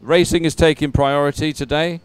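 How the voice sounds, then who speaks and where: A man's voice: fairly high pitch, flat delivery, moderate pace, smooth. A man giving live race commentary in an informal, conversational and encouraging way. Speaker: sports commentator.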